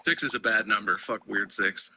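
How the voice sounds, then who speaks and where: A man chatting casually as a podcast co-host, an animated storyteller who swears freely and laughs often. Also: on the low side, normal speed, a little hiss or hum. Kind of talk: casual chat.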